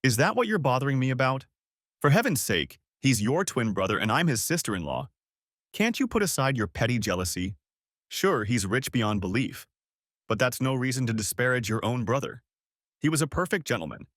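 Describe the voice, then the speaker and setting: A man's voice: mid-range voice, very animated, fairly quick, fairly bright tone, no room sound, clean studio sound. A man voicing an adult wife who is sleepy and dismissive of her husband. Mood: irritated.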